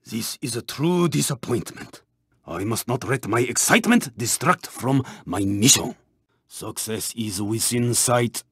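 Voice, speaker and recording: An actor voicing a proud, intense, honour-bound racing car in a video game, with a Japanese accent, a raspy voice and clipped, formal delivery. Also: male voice, high-pitched, wildly swooping pitch, takes it slowly, pushed and bright, dry recording, studio quality, exaggerated voice. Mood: grave, intense. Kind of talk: video game voice clips, short barks.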